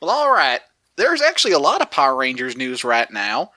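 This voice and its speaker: A man's voice: medium pitch, huge pitch swings, a little fast, sharp tone, no echo, studio quality, full character voice. A man voicing an immortal male cowboy in a put-on cowboy accent, hosting a comedic podcast news bit in a folksy, cryptic manner. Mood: drawling. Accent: put-on cowboy drawl. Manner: folksy, unbothered, grandly cryptic. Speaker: segment host.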